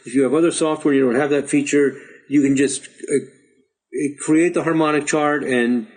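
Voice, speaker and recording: Slightly hoarse man teaching patiently. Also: fairly high pitch, steady tone, normal speed, low-quality recording. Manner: patient, repetitive, encouraging. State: slightly hoarse, losing his voice. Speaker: astrology teacher. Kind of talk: screen-share software tutorial.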